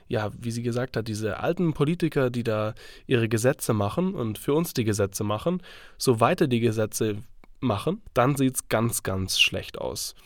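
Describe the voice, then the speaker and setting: A man's voice: medium pitch, no room sound, studio quality. A man with a cold and a congested, stuffy voice hosts his own podcast, talking casually and thinking aloud with fillers. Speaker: podcast host. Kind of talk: solo podcast commentary.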